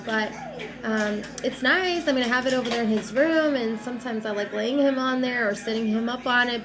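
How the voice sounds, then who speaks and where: A young woman vlogging casually about baby gear, a little raspy from a cold, talking in a loose, chatty way with lots of fillers. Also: a little high. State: has a cold, slightly raspy. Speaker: mom vlogger. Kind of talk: casual chat to camera.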